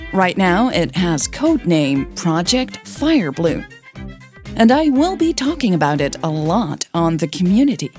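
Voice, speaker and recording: A woman's voice: on the low side, a little mellow. A woman narrating scripted audio fiction as the podcast's storyteller.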